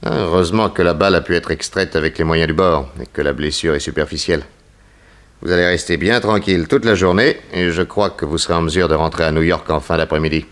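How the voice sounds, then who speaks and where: A private detective in an old 1960s radio serial. His voice is low and authoritative. He speaks in a measured, probing way, suspicious and sure of himself. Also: steady tone, a bit bright. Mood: composed. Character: shrewd, suspicious male detective, composed and authoritative. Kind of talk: 1960s French radio mystery serial acting.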